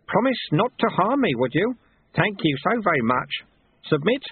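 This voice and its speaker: A man's voice: high voice, very animated, moderate pace, husky, narrow, tinny audio, pitched above the performer's own voice, heavily acted. A man voicing a sly, cheerful old monk and martial-arts master who talks in a cheeky, colloquial, teasing way, in an audiobook. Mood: taunting.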